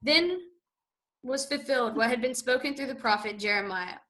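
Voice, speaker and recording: Woman narrator, church reading; bright, slightly high, steady. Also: normal speed. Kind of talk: liturgical scripture narration.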